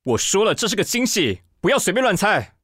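Theatrical, swooping Taiwanese magazine director. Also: male voice, high-pitched, big pitch swings, a little fast, a bit bright, breathy edge, no room sound, studio quality, full character voice. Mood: bossy. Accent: Taiwanese Mandarin. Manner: theatrical, bossy, haughty. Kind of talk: radio drama acting.

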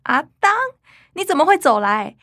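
A woman's voice: sits high, big pitch swings, moderate pace, fairly bright tone, dry recording, studio quality, heavily acted. A woman playing a young student, the casual, defensive younger sister, with a Taiwanese accent in a radio drama. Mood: startled, protesting.

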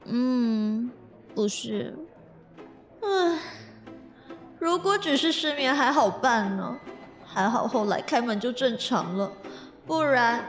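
A woman's voice: a little high, expressive, slow, pauses often, faint music behind. A woman voicing a flustered young office newbie on probation who stammers and whines. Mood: gloomy. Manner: jittery, stammering, whiny. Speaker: lead in an audio drama. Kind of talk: audio drama acting.

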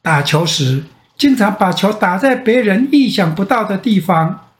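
An older man with a Taiwanese Mandarin accent tells a bedtime fairy tale as a grandfather, with dramatic pauses and repetition. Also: high voice, expressive. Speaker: grandfather storyteller. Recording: roomy echo.

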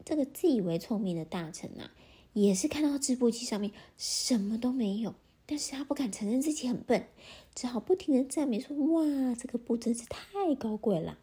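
A woman narrating a fairy tale for children in a gentle, expressive storytelling manner. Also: a little high, brisk, slightly rough.

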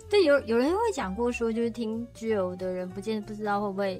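A woman's voice: fairly high pitch, fairly quick, a little mellow. Giggly young woman chatting. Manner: giggly, chatty, laughs often. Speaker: radio drama co-host and voice actor. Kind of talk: casual chat. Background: music.

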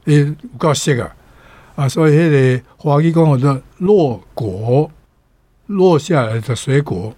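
A man's voice: a little high, animated, unhurried, mellow, no room sound. A man with a Taiwanese accent teaching vocabulary conversationally, repeating words as he explains and sometimes reciting folk verse. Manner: explanatory, repeats words, frequent filler particles.

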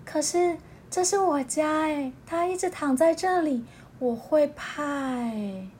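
A woman voicing a small nervous sea otter in a storybook, speaking high and soft. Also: slow, slight background noise. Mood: timid.

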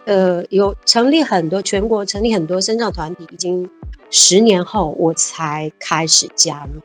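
A woman's voice: on the low side, moderate pace, faint music behind. Woman in her sixties, reflective. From Taiwan. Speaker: guest. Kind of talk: casual interview chat.

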